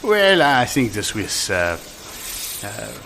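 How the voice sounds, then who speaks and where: A man's voice: mid-range voice, very animated, slow pace, gravelly, music in the background, exaggerated voice. A man playing an officious, smug railway border official in an improvised comedy sketch, talking in hesitant mock-reasonable bureaucratic patter. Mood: bossy.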